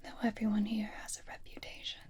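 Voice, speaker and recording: A woman playing a flirtatious, possessive female vampire in a whispered ASMR fantasy roleplay, teasing and intimate, with lingering pauses. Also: medium pitch, monotone, a little mellow, close to an everyday voice. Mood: conspiratorial, flirtatious.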